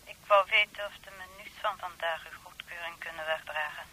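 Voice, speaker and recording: An actress playing a stern, icily formal housekeeper of a grand manor in a radio drama, stiff and coolly deferential. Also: female voice, on the low side, steady tone, a little fast, bright, forceful tone, rough recording. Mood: coolly insistent.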